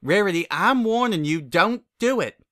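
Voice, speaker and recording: Man doing a folksy farm mare, slightly raised pitch. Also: expressive, normal speed, bright, forceful tone. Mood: pleading, concerned. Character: plain-spoken, practical country farm mare, caring and firm.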